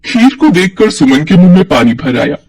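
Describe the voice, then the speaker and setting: A man's voice: high voice, very animated, moderate pace, a little mellow. A man narrating a scripted cartoon folk tale as a storyteller.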